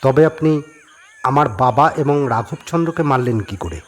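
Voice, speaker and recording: A man's voice: mid-range voice, expressive, normal speed, natural voice. A man playing an earnest young man in a horror audio drama, speaking in a respectful, questioning way. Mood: puzzled. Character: earnest young man questioning a spirit about his past.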